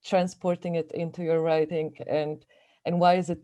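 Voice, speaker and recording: A woman's voice: low-pitched, little pitch movement, moderate pace, warm tone. A woman whose first language is Slovenian, interviewing an author in English and searching for her words. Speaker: translator interviewer. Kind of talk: interview questions.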